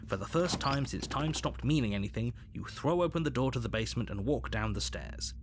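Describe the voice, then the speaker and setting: An actor playing a controlling, exasperated narrator of a dark storybook game, theatrical, insistent and dryly sardonic. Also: male voice, medium pitch, lively intonation, brisk, noisy background, lightly acted. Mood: ominous narrating. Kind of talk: dramatic scripted game narration. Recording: loud music under the voice. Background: game music.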